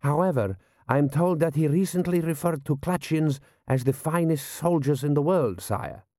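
A man voicing a dry, deferential army general with a measured, courteous manner in an audiobook. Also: fairly high pitch, dark tone, dry recording, voice pushed up, lightly acted. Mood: drily deferential.

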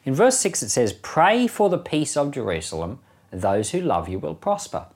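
A man speaks as a pastor explaining scripture in an informal, conversational style. His mid-range voice moves up and down a great deal, giving the teaching an enthusiastic, animated feel. He likes to put rhetorical questions to the listener. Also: medium pitch, normal speed. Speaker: pastor teaching a Bible podcast. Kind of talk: informal Bible teaching commentary.

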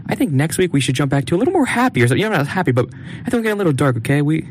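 A man's voice: fairly high pitch, big pitch swings, racing, slight background noise. A young man co-hosting a podcast, rambling and joking, with frequent laughs, on a studio mic in a small room. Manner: rambling, jokey, laughs often. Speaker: podcast co-host. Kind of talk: casual chat.

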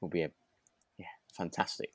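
A man co-hosting a podcast in casual chat, speaking earnestly in a hesitant, halting way. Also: dry recording. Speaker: podcast co-host. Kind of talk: relaxed casual chat about a radio drama.

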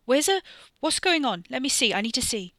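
A woman's voice: high voice, sing-song, fairly quick, a bit bright, dry recording, studio quality, voice pushed up, full character voice. Woman playing a squealing, giddy young woman. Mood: ecstatic.

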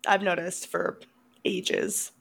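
A woman's voice: lowish voice, flat delivery, slow, creaky, a bit bright. A woman co-hosting a podcast in casual chat, with a teasing, drily sarcastic way of talking. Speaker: podcast co-host. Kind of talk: casual chat about horror movies.

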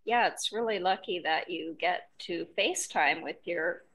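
A woman's voice: fairly low pitch, moderate pace, fairly bright tone, a little hiss or hum. A woman hosting a podcast, handling interview questions and show announcements. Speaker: podcast host.

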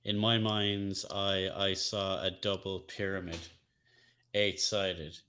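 A man's voice: low voice, flat delivery, slow pace, pushed and bright. A man co-hosting a casual podcast, talking in a chatty, jokey way. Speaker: co-host, streamer.

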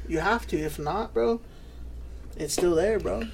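Man, podcast co-host, casual, profane, "bro" every line, slightly high voice, faint hiss. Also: measured pace, vocal fry. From New Mexico. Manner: profane, laid-back, says "bro" constantly. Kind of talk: casual chat.

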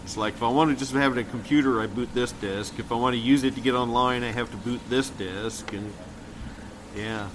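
A man's voice: steady tone, fairly quick. Middle-aged American man, noisy recording. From Kansas City, United States. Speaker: podcast co-host. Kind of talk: casual chat, reminiscing. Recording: clearly noisy, rumbling background.

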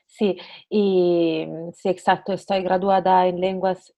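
A young Italian woman with an Italian accent, a podcast guest talking hesitantly with many pauses. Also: fairly low pitch, level tone, warm tone. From Italy. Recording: dull lo-fi sound.

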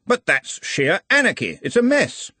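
A man's voice: high-pitched, very animated, normal speed, pushed and bright, husky, dry recording, pitched above the performer's own voice, exaggerated voice. Guy doing a posh-worded exasperated gent for an audiobook, precise, very up and down. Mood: incredulous. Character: incredulous, exasperated, educated gentleman.

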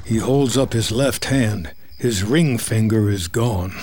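A man's voice: fairly low pitch, slow pace, darkish tone, a little hiss or hum. A man reading scripted screenplay narration as the narrator of a drama.

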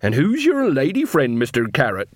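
A man's voice: medium pitch, huge pitch swings, moderate pace, no echo, studio quality. A man voicing a bossy, whining old beggar queen with rustic, ungrammatical speech in a story. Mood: cheeky.

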